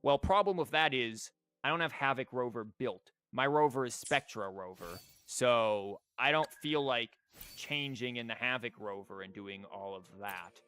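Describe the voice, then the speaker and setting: A man's voice: medium pitch, normal speed, a bit bright, clean tone. A man streaming a game, thinking aloud and rambling as he explains things in casual live commentary, with game music behind him. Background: video game music and character lines.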